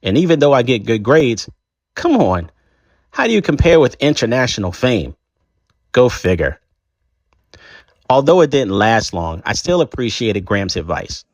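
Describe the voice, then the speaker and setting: A man reading a first-person chapter book aloud in a conversational, expressive way. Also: medium pitch, moderate pace, long pauses, dry recording. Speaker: storybook reader.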